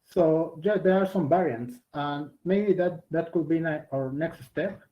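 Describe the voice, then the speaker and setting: A man's voice: a little high, highs cut off. Mexican man answering on a video call. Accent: Mexican Spanish. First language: Spanish. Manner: halting, searching for words. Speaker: student presenter.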